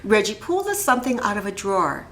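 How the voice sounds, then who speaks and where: Animated woman reading a picture book aloud. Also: medium pitch, fast talker. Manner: animated, dramatizes dialogue, asks listeners questions. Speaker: instructional coach reading aloud to children. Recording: echoey room.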